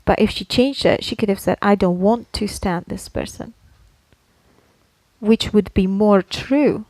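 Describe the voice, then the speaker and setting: A woman's voice: mid-range voice, unhurried, darkish tone, no room sound, poor audio. Woman podcaster explaining things, dry close sound. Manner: explanatory, playfully argumentative. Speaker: podcast co-host. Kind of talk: casual conversational podcast talk.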